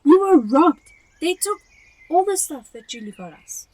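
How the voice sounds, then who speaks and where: A fussy, affectionate elderly wife and mother, played by a woman in an amateur radio drama. The voice sits high and moves about a lot, full of motherly concern. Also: very animated, measured pace, a little mellow, poor audio. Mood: alarmed.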